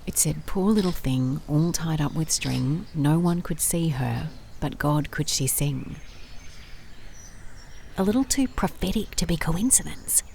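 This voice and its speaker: A woman playing a curious, determined private investigator in an audio drama, speaking in a clipped, brooding, measured way as if dictating case notes. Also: sits low, lively intonation, vocal fry, mellow, a little hiss or hum, full character voice. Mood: grim, uneasy.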